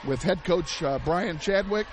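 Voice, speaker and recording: Hoarse high-voiced basketball announcer, man. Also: unhurried. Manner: excitable, shouts big plays, chatty asides. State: losing his voice, hoarse. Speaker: play-by-play announcer. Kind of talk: live basketball play-by-play. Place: high school gym broadcast. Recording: loud gym crowd and noise behind.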